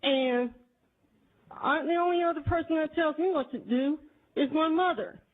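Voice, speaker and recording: A woman in her forties with a Houston accent speaks in a high, insistent voice. She testifies at a committee hearing with indignant, repetitive emphasis. The sound is narrow and tinny, as though it came over a phone line. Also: moderate pace. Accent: Texan. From Houston, Texas. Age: 40s. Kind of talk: public testimony. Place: legislative committee hearing room. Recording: tinny, phone-like archive audio.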